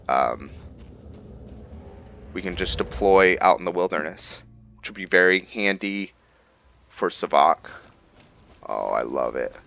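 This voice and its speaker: A man giving casual commentary on a roleplay video game, thinking aloud with halting pauses. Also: low voice, pushed and bright. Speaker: gaming video host.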